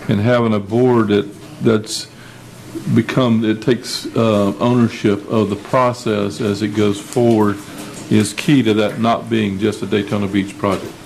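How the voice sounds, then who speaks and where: A man's voice: on the low side, flat delivery, a little slow, vocal fry, darkish tone, slight background noise, low-quality recording. A man at a city commission meeting, clarifying the reasoning behind a plan. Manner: clarifying, with frequent restarts. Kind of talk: explaining at a public meeting.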